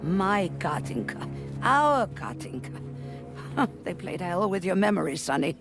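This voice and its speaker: Gruff, feisty older woman for a video game: blunt, salty scolder, music underneath. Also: lowish voice, big pitch swings, measured pace. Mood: grieving. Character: feisty, gruff old Russian mother-in-law with weapons. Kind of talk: video game character acting. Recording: music behind the voice.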